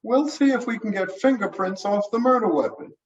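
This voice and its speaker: A man voicing a brisk, businesslike female medical examiner in a mystery story reading. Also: high voice, pitched above the performer's own voice, lightly acted. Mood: matter-of-fact. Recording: roomy, muffled, distant mic.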